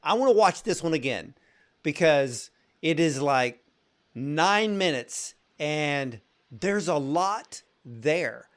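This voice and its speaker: Male interviewer, earnest, keen, voice a bit higher than most men. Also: expressive, slow, fairly bright tone. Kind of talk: interview questions.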